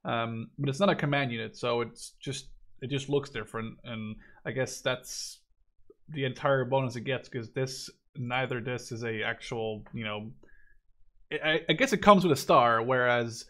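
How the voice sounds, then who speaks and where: A man's voice: mid-range voice, normal speed, pushed and bright. A man in his twenties giving casual game commentary in a rambling, conversational, opinionated way. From Netherlands. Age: 20s. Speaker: gaming video commentator.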